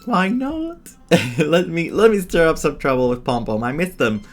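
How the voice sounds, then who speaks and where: A woman's voice: low voice, big pitch swings, moderate pace. A woman giving casual commentary as a game streamer playing through a story. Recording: faint game music behind.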